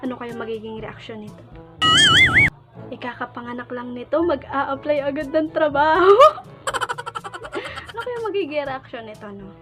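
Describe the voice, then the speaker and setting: A woman from the Philippines with a Filipino accent, chatting casually and in an animated, informal way as a vlogger. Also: sits high, moderate pace, long pauses, loud music behind the voice. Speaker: vlogger, prank caller.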